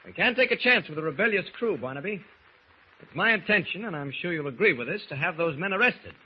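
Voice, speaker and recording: An actor playing an upright, shrewd hero in civilian guise, speaking earnestly and firmly in a 1940s radio drama. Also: male voice, high voice, animated, quick pace, slightly rough. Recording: muffled, noisy old radio recording.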